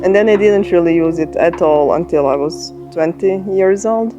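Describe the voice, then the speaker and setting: A woman in her thirties with a Croatian accent, a software engineer speaking in a documentary interview, thoughtful with pauses and restarts. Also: on the low side. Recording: music bed under the voice.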